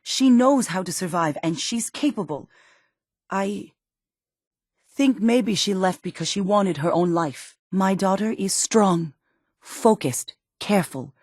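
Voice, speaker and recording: A worried mother in middle age, weary and fiercely protective of her family. Her voice has a medium pitch with a slightly husky grain. She speaks in earnest, halting, emotional phrases, recorded dry as post-apocalyptic video game dialogue. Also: expressive, darkish tone, no echo. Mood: worried, reflective. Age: middle-aged. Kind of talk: dramatic video game voice acting.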